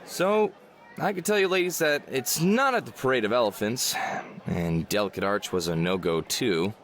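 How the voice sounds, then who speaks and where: A man's voice: medium pitch, expressive, normal speed, a bit bright, a faint crowd, close to an everyday voice. A man playing a kindly, easygoing, slightly weary older brother with a folksy manner in a visual novel.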